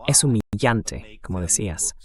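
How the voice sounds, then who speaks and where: A man's voice: fairly low pitch, animated, unhurried, a little mellow. A synthetic AI man's voice reading a translated voice-over of political interview commentary in a conversational style.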